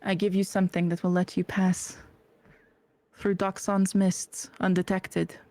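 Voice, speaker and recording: A woman's voice: medium pitch, level tone, warm tone, no room sound, natural voice. Woman putting on a suave ancient shadow being, Russian accent. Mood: courtly. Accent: put-on Russian. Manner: formal, measured, dryly courteous.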